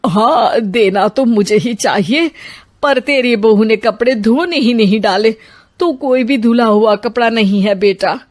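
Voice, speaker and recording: Woman voicing an older storybook mother-in-law, proud, stubborn, chiding, very slow pace, highish pitch. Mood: self-justifying. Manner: chiding, boastful.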